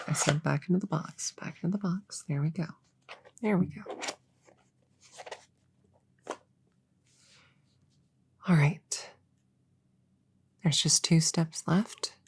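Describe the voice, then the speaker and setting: A woman playing a young, earnest novice witch in a soft, partly whispered ASMR roleplay, chatting hesitantly and intimately as if to a friend. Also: deep voice, slight background noise, full character voice. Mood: gentle, focused. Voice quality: breathy, soft.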